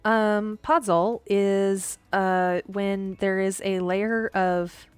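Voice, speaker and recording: A woman's voice: mid-range voice, fairly even intonation, very slow, smooth, no room sound. A woman from Missouri chatting casually while streaming a game, explaining things in rambling bursts with many restarts. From Missouri, USA. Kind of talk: casual chat while gaming.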